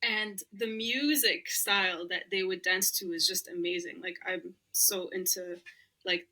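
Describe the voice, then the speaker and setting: Bright-voiced woman, chatty guest. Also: fairly low pitch, fairly even intonation, unhurried. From Lebanon. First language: Arabic. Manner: chatty, laughs often, many "like" fillers. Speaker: podcast guest. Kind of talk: casual interview chat.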